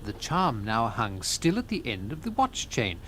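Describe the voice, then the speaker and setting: A man playing a sociable, curious gentleman who narrates a literary tale and speaks in its dialogue, genial and expressive. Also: mid-range voice, normal speed, slight background noise. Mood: descriptive, wondering.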